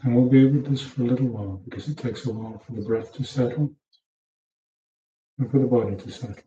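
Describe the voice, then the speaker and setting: A very soft-edged, dark-toned man guides a meditation in a calm, unhurried way, with short instructing phrases. His voice sits at an ordinary male pitch and sounds distant from the microphone. The recording is dull and echoes with the room. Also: a little hiss or hum. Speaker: meditation teacher.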